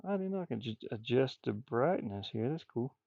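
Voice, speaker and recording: A man's voice: medium pitch, lively intonation, normal speed, vocal fry, mellow, no echo, highs cut off. A man streaming casually as he draws, muttering and thinking aloud in folksy, informal speech. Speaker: streamer and hobby artist. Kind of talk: casual livestream chat while drawing.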